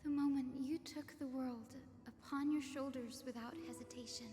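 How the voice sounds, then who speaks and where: A woman playing a solemn, sorrowful voice from memory in a video game, speaking with measured gravity. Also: high voice, steady tone, close to an everyday voice. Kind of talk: video game dramatic voice acting. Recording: echoing hall effect, music behind.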